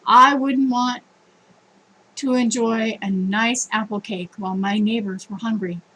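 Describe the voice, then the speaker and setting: A kindly old woman from a storybook, read by a woman narrator. The voice is fairly high, with a gentle, cheerful and generous way of speaking. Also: fairly even intonation, measured pace, low-quality recording.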